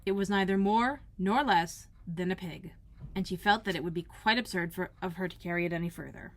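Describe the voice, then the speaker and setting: A woman reading a children's storybook aloud in a careful, expressive narrating style, like a school librarian. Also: lowish voice, a little fast.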